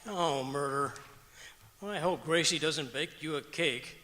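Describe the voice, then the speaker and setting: A man playing a sardonic, grumbling husband from an old radio sitcom. His voice is forceful and fairly high, with lively inflection and a long auditorium reverb. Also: measured pace. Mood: sarcastic grumbling. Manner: sardonic, punchline delivery. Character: Harry, grumbling sarcastic husband. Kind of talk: old-time radio comedy acting on stage. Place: stage of a performing arts auditorium.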